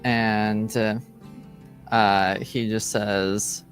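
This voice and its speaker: A man narrating a tabletop roleplaying game as game master, improvising in a hesitant, halting way. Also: lowish voice, level tone, faint music behind.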